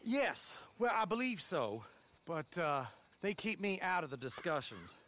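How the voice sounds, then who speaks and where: An actor playing a sensitive, petulant young from a rich family in a video game, plaintive and hesitant. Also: male voice, sits high, big pitch swings, a little slow, a bit bright, a little hiss or hum, heavily acted. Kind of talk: video game dramatic acting.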